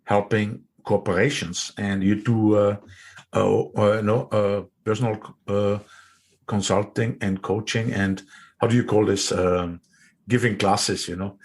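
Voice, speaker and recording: Austrian male podcast host, lowish voice, hesitant fillers. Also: fairly even intonation, a little slow, a little mellow. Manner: friendly, hesitant with fillers, backchannels. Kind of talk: interview conversation.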